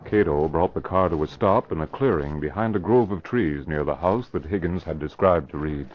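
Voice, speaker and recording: A man's voice: extremely low pitch, moderate pace, a little hiss or hum. A man reading scripted narration for an old-time radio mystery. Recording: dull vintage radio recording.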